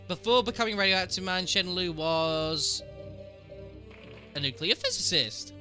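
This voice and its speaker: A man's voice: fairly high pitch, sharp tone. A man with a cold and a sniffly voice, chatting casually with his stream viewers while answering game trivia aloud. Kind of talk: casual live-stream commentary.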